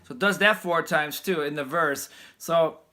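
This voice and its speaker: A man with a fairly high voice giving a casual guitar lesson in a loose, rambling way. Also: moderate pace. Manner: loose, rambling, restarts often. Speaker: guitar teacher. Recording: guitar playing loud behind him.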